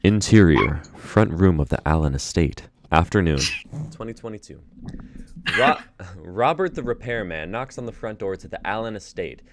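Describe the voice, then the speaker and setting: A man's voice: sits low, lively intonation, normal speed, background noise. A young man in his twenties hosting a live script reading, laughing easily and reading the stage directions. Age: 20s. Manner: laid-back, laughing, uses y'all. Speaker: host and playwright.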